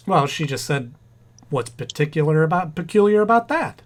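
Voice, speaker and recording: A man playing an excitable, flustered husband expecting a baby, stammering frantically in old-time radio comedy style. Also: fairly high pitch, moderate pace. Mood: bashful, puzzled.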